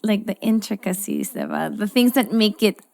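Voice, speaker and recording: A woman's voice: mid-range voice, steady tone, moderate pace, creaky, darkish tone. A woman in her thirties with a Filipino accent, hosting a casual chat and playfully switching between English and Tagalog. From Philippines. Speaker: podcast host.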